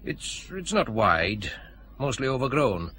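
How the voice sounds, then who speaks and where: An actor playing a deferential, obliging county police sergeant with a Scottish accent in a radio drama. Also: male voice, mid-range voice, very animated, slow, sharp tone, a little hiss or hum, heavily acted. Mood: obliging, helpful.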